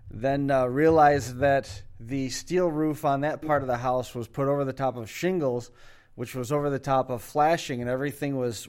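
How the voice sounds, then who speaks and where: A man in the middle of his pitch range talks through a long story on a casual podcast. He rambles, restarts his sentences and piles on details. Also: a little mellow, clean tone. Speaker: podcast co-host.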